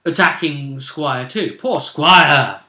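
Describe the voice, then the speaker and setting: A man chatting casually as a game master, retelling a tabletop role-play session in an animated, emphatic way. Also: a little high, slow pace. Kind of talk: casual storytelling chat. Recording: roomy, echoing home recording.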